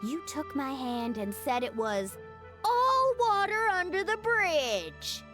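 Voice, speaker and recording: A woman's voice: high voice, very animated, slow pace, heavily acted. A woman voicing a bubbly young girl in an anime-style game, theatrical and flustered in mock outrage, with music behind her. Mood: exasperated.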